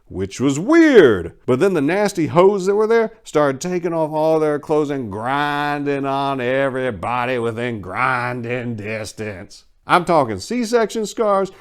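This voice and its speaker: A man narrating a story as a dramatic reading, theatrical and folksy, swinging between hushed delivery and loud emphasis. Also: fairly high pitch, clean tone. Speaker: narrator and channel host.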